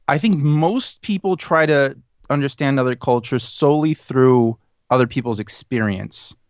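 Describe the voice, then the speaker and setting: A man in his thirties talks as a podcast guest. His speech is thoughtful and halting, with frequent ums and restarts. The recording sounds muffled and dry. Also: medium pitch, no echo. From United States and Chile. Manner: thoughtful, many fillers and restarts. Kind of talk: casual conversation.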